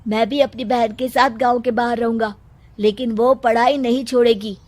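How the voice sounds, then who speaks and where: A woman's voice: a little high, fairly even intonation, moderate pace, darkish tone, dry recording, lightly acted. A woman voicing an anxious, earnest village man in a cartoon moral story, speaking emphatically. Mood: defiant.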